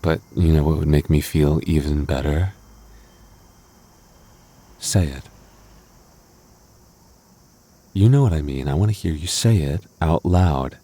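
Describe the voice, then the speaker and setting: A man playing a clingy, jealous young boyfriend in an intimate roleplay audio, talking casually and haltingly, prone to stammering when flustered. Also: extremely low pitch, dark tone. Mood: smug, playful pleading.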